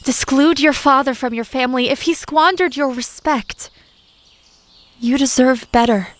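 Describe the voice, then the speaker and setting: An earnest, loyal confidant played by a woman in an audio drama. The voice is high, and the counsel comes in measured, formal words.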